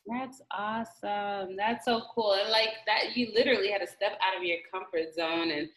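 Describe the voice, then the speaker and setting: A woman with a mid-range voice hosts a relaxed interview. She sounds upbeat and encouraging, and she peppers her speech with likes and casual slang. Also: mid-range voice, normal speed, poor audio. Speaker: interviewer, podcast host.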